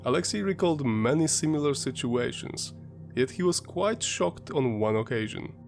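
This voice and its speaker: Brief: male host, stuffy sinus-congested voice, mid-pitched, measured documentary read, faint music underneath. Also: expressive, gravelly. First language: Polish. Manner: measured, explanatory storytelling. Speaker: history channel host. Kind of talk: scripted documentary narration.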